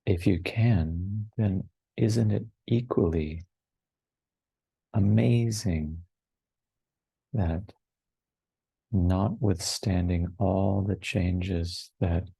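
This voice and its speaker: A man in his forties leading a guided meditation in a hushed, unhurried way, with long pauses between short phrases. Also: low-pitched, gravelly, slightly rough, no room sound, poor audio. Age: 40s. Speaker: meditation teacher. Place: online retreat over video call, dry close sound.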